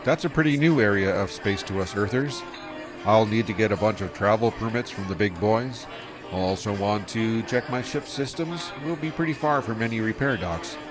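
A man's voice: lowish voice, moderate pace, music in the background, natural voice. An actor playing an easygoing young male starship pilot who talks in a casual, laid-back way in an audio drama.

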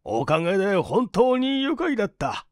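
A man voicing a genial, dignified older gentleman with a measured, courteous manner in a story reading. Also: high voice, wildly swooping pitch, dry recording, clean studio sound, voice pushed up. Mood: warm, grateful.

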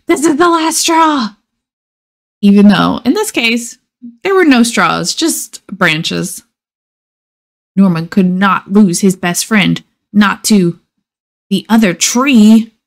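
A woman reading a children's picture book aloud expressively, with measured dramatic pauses and emphasis. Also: mid-range voice, creaky. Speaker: storybook reader.

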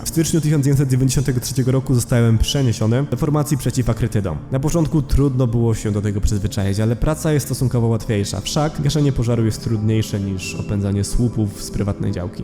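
A man narrating scripted horror material as a video host, explaining and reading stories aloud. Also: medium pitch. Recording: music playing under the voice. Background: background music.

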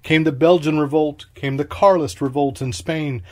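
A man's voice: a little high, steady tone, normal speed, a little mellow. A man reading a literary short story aloud in a declamatory, incantatory way, phrase by short phrase. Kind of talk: dramatic literary reading, scripted narration.

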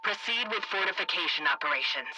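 A man's voice: high-pitched, animated, quick pace, pushed and bright, a little hiss or hum, heavily acted, low-quality recording. A man voicing a cold, commanding general in a video game, formal and imperious, over military radio. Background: game music.

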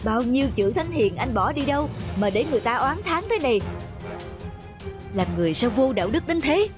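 A man playing a stern, wise elderly scholar in a cartoon folk tale, admonishing in a measured way. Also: very high voice, big pitch swings, music in the background. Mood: stern reproach. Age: older.

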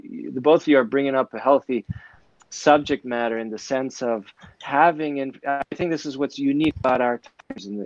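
A man's voice: mid-range voice, no echo. A man, a therapist guest answering at length with many fillers and restarts on a video call. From New York City. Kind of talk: conversational interview answers. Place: indoor office, video call. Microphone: earbuds on a video call. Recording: dull, dry video call audio.

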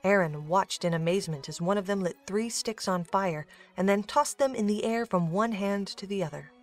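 A woman's voice: mid-range voice, normal speed, faint music behind. A woman giving scripted third-person narration of a story as the narrator.

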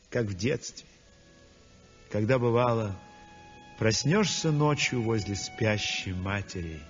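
A frail young painter recovering from illness, his voice breathy and soft, words drawn out slowly. It comes through a dull, lo-fi old radio recording with a long echoing reverb and faint music beneath. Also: fairly high pitch, exaggerated voice. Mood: tender. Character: weak convalescent young male painter, dreamy. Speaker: Ryabinin, the painter narrating. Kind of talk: 1960s radio drama acting.

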